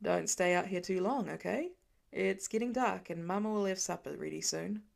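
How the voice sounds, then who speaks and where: A woman narrator plays a farmer father in an audiobook, gruff on the surface and fond underneath. The voice is creaky, grousing and teasing, given to hammy mock offense. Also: on the low side, normal speed. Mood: gentle. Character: gruff, teasing farmer dad, warm and playful.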